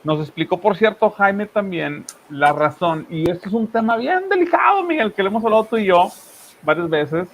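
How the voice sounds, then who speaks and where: Animated man with a Monterrey accent. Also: high voice, moderate pace, slight background noise. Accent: Monterrey Mexican Spanish. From northern Mexico, Monterrey. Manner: animated, emphatic, talks in long run-on asides. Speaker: news commentary co-host. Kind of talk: political commentary chat.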